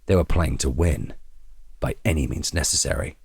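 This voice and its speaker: A man narrating a scripted story in a dramatic, expressive and suspenseful way. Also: a bass voice, normal speed, creaky, breathy edge, dry recording.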